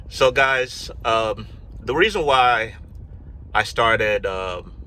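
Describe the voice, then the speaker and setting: A middle-aged man from Ghana, telling his life story to camera in a relaxed, rambling way, full of fillers and restarts. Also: on the low side, extremely slow, poor audio. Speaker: YouTube vlogger. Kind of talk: casual personal storytelling to camera.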